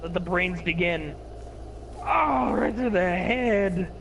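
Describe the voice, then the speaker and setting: A man with a lisp chatting excitedly while gaming, cursing and yelping at what happens on screen. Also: high voice, takes it slowly, gravelly, a little hiss or hum, poor audio. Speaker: gamer. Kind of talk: excited gameplay chat.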